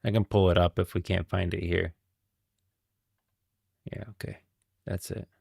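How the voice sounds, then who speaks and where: A man's voice: deep voice, flat delivery, a little slow, creaky, darkish tone, breathy edge, no echo, clean studio sound. A man streaming casual game lore commentary, rambling and thinking aloud as he goes, often repeating himself. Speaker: streamer, host.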